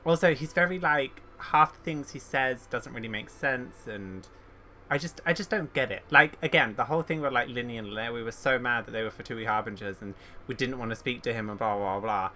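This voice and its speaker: A man's voice: mid-range voice, brisk, sharp tone, a little hiss or hum. A man giving casual, rambling commentary as a game streamer, thinking aloud and opinionated.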